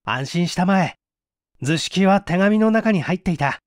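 A man's voice: high-pitched, animated, moderate pace, no echo, studio quality. A man voicing a composed, wry male detective in an old mystery story. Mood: wry composure.

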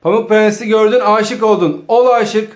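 A man with a high voice directs an improvised comedy sketch. He is bossy and playful, speaking casually in a roomy space with faint noise. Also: fairly even intonation, moderate pace. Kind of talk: giving stage directions, casual. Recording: echoey room with faint noise.

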